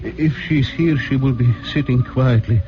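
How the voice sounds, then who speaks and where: A man playing an anxious, devout immigrant father in an old radio drama, with a halting, stammering delivery. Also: medium pitch, background noise, close to an everyday voice. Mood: anxious, earnest. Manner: halting, stammering, repeats himself. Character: anxious, devout immigrant father searching for his lost girl. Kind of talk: 1940s radio drama acting. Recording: dull old radio recording with music.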